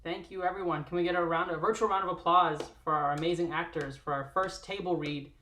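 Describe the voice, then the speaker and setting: A woman's voice: fairly low pitch, fairly even intonation, fast talker, very noisy. A woman hosting an online table read, speaking in a casual livestream sign-off style. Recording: echoey livestream room.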